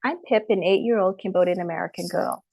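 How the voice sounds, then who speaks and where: Woman author with a dry, lowish voice. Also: quick pace, vocal fry, warm tone, dry recording. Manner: polite, apologetic about screen sharing. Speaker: author presenting and reading own book. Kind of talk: picture-book reading at an online talk. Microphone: computer mic on a video call.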